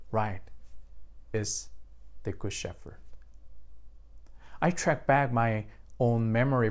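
A man's voice: mid-range voice, a little mellow. A man with a Korean accent, a native Korean speaker, preaching as a pastor and addressing his congregation directly. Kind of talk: sermon.

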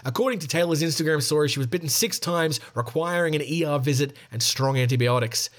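A man's voice: fairly high pitch, fast talker. A man hosting a podcast, reading a news article aloud with wry, sarcastic asides and frequent self-corrections. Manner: wry, sarcastic running commentary. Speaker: podcast host.

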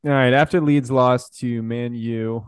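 A man's voice: medium pitch, steady tone, normal speed, smooth, no room sound, studio quality. A man co-hosting a casual podcast, chatting and reading fan posts aloud. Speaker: podcast co-host.

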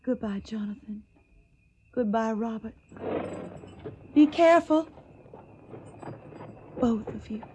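A woman's voice: a little high, takes it slowly, long pauses, a little mellow, highs cut off, slight background noise. A woman playing an earnest, emotional young woman in a radio drama. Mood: tender, concerned. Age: young adult. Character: earnest, tender young Southern woman in wartime.

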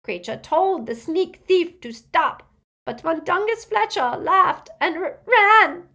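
An old, servile house-elf , played by a woman narrator, croaks and mutters through a storybook tale. His voice is strained and raised in pitch, full of bitterness and anguish, with gasping phrases. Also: expressive, slow pace, pushed and bright, heavily acted. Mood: sobbing. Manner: muttering, gasping, speaks of self in third person.

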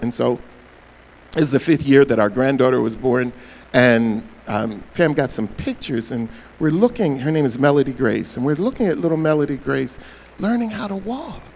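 An older man, a pastor preaching a sermon, his voice hoarse, swinging between loud bursts and soft asides. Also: mid-range voice, lively intonation, normal speed, rough recording.

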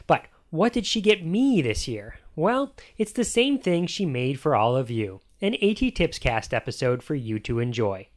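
A man's voice: fairly high pitch, very animated, normal speed, hardly any pauses. An American man hosting a podcast, reading a scripted introduction in a playful, punning manner. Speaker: podcast host.

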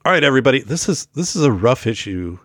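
A man's voice: medium pitch, big pitch swings, fast talker, a bit bright, no echo, clean studio sound. A middle-aged man hosting a school safety talk show, losing his voice and feeling unwell, speaking emphatically with many fillers and restarts. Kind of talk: casual talk to camera.

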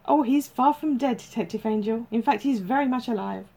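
A woman's voice: a little high, a little fast, dark tone, pitched above the performer's own voice. A woman voicing a chirpy, upbeat female agency caller in an audiobook, with formal but chatty phone patter.

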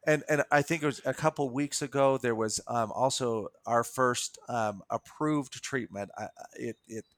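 Man, American accent, podcast host, casual, hesitant phrasing, mid-pitched, dry sound. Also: moderate pace, no echo. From United States. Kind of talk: casual podcast introduction and chat.

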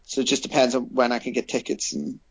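A man of middle pitch chats casually as a podcast guest. He is relaxed and hesitant, filling his pauses with ums. The audio is dull and lo-fi, as on an online call. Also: vocal fry, breathy edge. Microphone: remote call microphone.

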